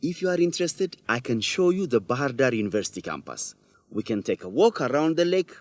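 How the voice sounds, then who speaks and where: An actor playing an earnest young student reporter, polite but probing, in a radio drama for English learners. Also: male voice, medium pitch, sing-song, a little fast, no echo, lightly acted. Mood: eager. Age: young adult.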